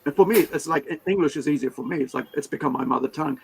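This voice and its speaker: A man's voice: fairly high pitch, racing, creaky, slight background noise. A man whose first language is Hindi, hosting a casual interview, talking in a rambling way full of fillers and restarts. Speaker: podcast host.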